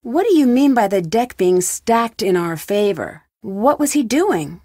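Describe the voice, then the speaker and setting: A woman's voice: medium pitch, expressive, unhurried, dark tone, no room sound, clean studio sound. A woman playing an inquisitive, lightly wry young female detective in video game dialogue. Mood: curious. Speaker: video game lead character. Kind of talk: video game dialogue, separate questions and replies.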